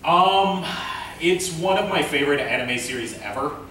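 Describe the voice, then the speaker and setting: A man hosting an informal panel Q and A, speaking in a hesitant, halting way. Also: a little high, lively intonation, sharp tone. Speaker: panel host, web series creator. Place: convention panel room. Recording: very echoey room, distant mic, noise.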